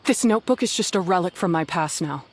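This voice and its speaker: A woman's voice: fairly low pitch, expressive, quick pace, a little hiss or hum, close to an everyday voice. A woman playing a hardened, bitter retired mercenary in an anime game, speaking with firm, cynical resolve. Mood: cold resolve. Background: music.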